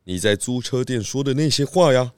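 A man with a Taiwanese Mandarin accent playing a calm, clever pig detective in a children's radio drama, talking in a measured, persuasive way. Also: mid-range voice, clear, resonant tone, no echo, studio quality, natural voice. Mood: calm, knowing.

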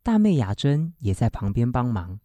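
Animated radio drama narrator. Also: medium pitch, normal speed, no room sound.

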